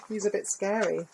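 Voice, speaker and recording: A woman reading a picture book aloud to children, expressive, with little asides about the pictures. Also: mid-range voice, slight background noise, poor audio. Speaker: volunteer story reader.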